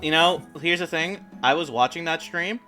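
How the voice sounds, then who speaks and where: Brief: excitable male stream host, bright voice, swears a lot, faint music under. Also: a little high, normal speed. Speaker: game master and stream host. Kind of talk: casual banter on a live stream.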